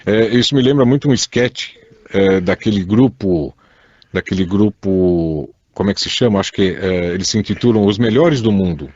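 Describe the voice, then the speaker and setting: Husky Brazilian man co-hosting radio. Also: lowish voice, normal speed, a little mellow, no echo. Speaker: radio co-host. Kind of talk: casual conversational radio talk. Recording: dull lo-fi radio recording.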